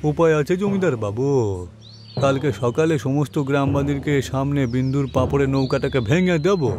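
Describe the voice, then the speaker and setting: A man's voice: mid-range voice, moderate pace, background noise, close to an everyday voice. A man playing a jealous, scornful, scheming village headman in a cartoon, speaking emphatically. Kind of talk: dubbed cartoon acting. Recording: voice over background music.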